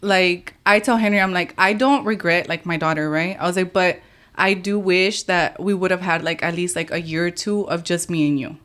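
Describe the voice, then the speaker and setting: A woman's voice: lowish voice, steady tone, moderate pace, fairly bright tone. Rambling woman podcast guest. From United States. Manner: rambling, heavy use of "like", frank. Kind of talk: casual podcast chat. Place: podcast studio.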